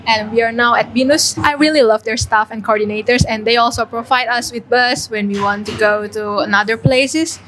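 A woman's voice: on the high side, moderate pace, few pauses, fairly bright tone, a little hiss or hum. A young woman from Indonesia chatting in a friendly way about her student program, holding a mic in a large restaurant hall. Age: young adult. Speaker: student participant. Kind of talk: casual testimonial. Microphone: handheld mic.

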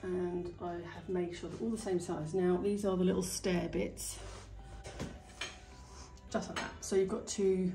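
Woman, DIY vlogger, low-ish voice, chatty hands-on tone, recorded on a handheld camera in a home hallway. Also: flat delivery, measured pace, mellow, soft background music. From United Kingdom. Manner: chatty, thinking aloud while working. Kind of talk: casual hands-on DIY tutorial. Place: indoor hallway and staircase at home. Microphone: handheld phone or camera filming her hands.

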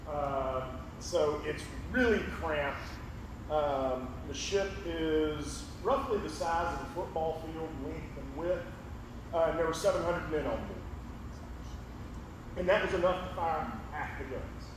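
A man's voice: fairly high pitch, slow pace. Big-voiced man lecturing in echoey hall. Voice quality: big, carrying voice. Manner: folksy, rambling, lots of ums and restarts. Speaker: high school history teacher giving a public lecture. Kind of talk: casual public lecture. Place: large echoey auditorium with a screen. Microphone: distant room mic, speaker away from it. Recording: echoey hall from far off, room noise.

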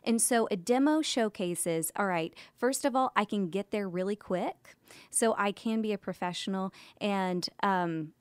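A woman's voice: medium pitch, unhurried, clear, resonant tone, no room sound. A woman co-hosting a podcast in a conversational, emphatic and explanatory way, speaking close to a studio microphone. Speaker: podcast co-host. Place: small indoor podcast studio. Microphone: close boom-arm studio microphone.

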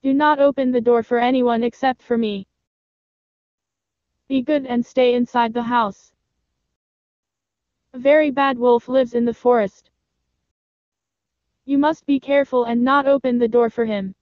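A woman's voice: fairly high pitch, fairly even intonation, a little slow, long pauses, no room sound, voice pushed up, natural voice. A woman voicing a loving mother goat who warns her children to be careful, in a storybook reading. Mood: caring. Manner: gentle, warning. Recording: dull lo-fi sound, dry.

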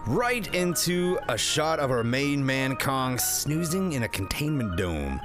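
A man's voice: medium pitch, sing-song, unhurried. A man hosting a comedic, part-scripted movie review in a slangy, sweary, wisecracking way. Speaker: review show host. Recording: music under the voice.

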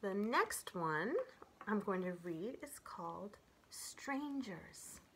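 A woman in her forties reading children's poems aloud and chatting between them in a gentle, expressive way, as a storyteller speaking to young children. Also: medium pitch, takes it slowly, a little hiss or hum. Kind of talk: read-aloud of children's poems with casual commentary. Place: small furnished room at home, indoors.

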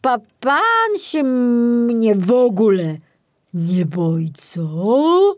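A woman voicing a big, grumpy, hungry, slow-witted male bear who stammers and halts, in a children's story. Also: fairly high pitch, sing-song, dry recording, voice pushed up, full character voice. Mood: uneasy, confused. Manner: halting, stammering.

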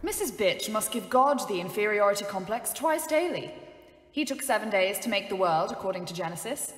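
A woman's voice: mid-range voice, expressive, brisk, lightly acted. An actress playing a sarcastic ambulance driver who mocks her superior in an audio drama. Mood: sardonic. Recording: very echoey, long reverb.

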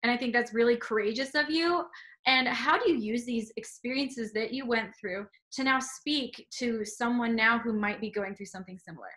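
A woman with a high, bright voice hosts a video-call interview, eager and emphatic. She speaks in her thirties' easy manner, recorded through a webcam in a small room indoors. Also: normal speed. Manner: enthusiastic, emphatic. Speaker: host.

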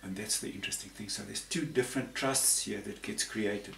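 A man's voice: lowish voice, a little fast, gravelly, pushed and bright. A man in his sixties from Zambia, explaining things in a rambling, conversational way and often repeating words. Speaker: panel guest. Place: room with patterned tapestry backdrop. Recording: roomy, distant, faint hiss.

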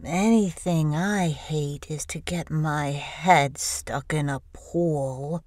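Slow, mumbling drunk young woman. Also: sits low, darkish tone, no room sound, clean studio sound. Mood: sullen. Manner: slurred, mumbling. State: tipsy.

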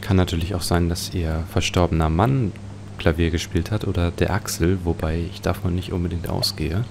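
Deep-voiced man with gravelly creak, musing. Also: steady tone, normal speed, a little mellow, slight background noise. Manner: musing, speculative. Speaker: let's play host. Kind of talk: let's play commentary on an adventure game.

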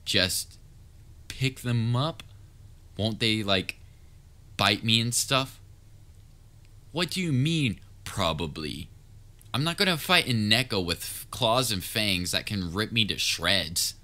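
Man acting as a slow-talking, low-voiced caring babysitter. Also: lively intonation, sharp tone, heavily acted. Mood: exasperated. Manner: coaxing, casual, firm but tender. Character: patient young male babysitter of a catgirl-like child, exasperated yet caring. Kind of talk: roleplay audio drama, speaking directly to listener.

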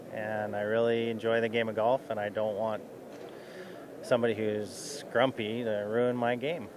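Low-voiced man in a busy crowd. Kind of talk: casual interview answer. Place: large indoor exhibition hall. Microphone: handheld reporter mic.

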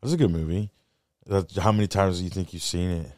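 A man's voice: deep voice, steady tone, a little fast, darkish tone, no room sound, studio quality. A man in his thirties chatting casually and jokingly as a podcast co-host. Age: 30s. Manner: joking, teasing.

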